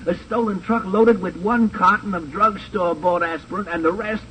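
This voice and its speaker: An actor playing a gleeful, gloating American small-time crook with slangy, chortling talk in an old radio crime drama. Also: male voice, high-pitched, fairly even intonation, normal speed, pushed and bright. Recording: faintly noisy old radio recording.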